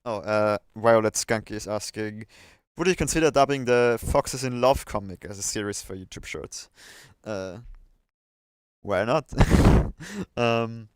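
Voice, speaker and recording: A man's voice: on the low side, measured pace, clean tone, no echo. A man hosting a casual livestream chat, thinking aloud and hesitating as he goes. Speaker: livestream host.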